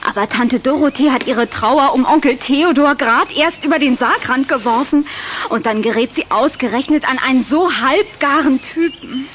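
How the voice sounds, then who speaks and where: Coquettish, high-voiced young vampire girl. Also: moderate pace, a bit bright, a little hiss or hum. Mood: dramatic pity.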